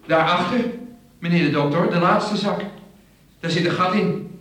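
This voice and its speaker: Tony, sardonic small-time crook, heavily echoing. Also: male voice, high-pitched, normal speed, fairly bright tone, distant mic. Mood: conspiratorial. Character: Tony, broke, sardonic, impatient male small-time robber. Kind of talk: 1980s radio thriller acting. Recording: very echoey, off-mic, faint hiss.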